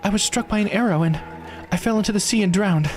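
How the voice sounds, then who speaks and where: Man putting on a hesitant immortal woman, shifted high. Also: fast, music in the background, natural voice. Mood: rueful recollection. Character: centuries-old immortal woman Sato, hesitant and rueful. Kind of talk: visual novel character read-aloud.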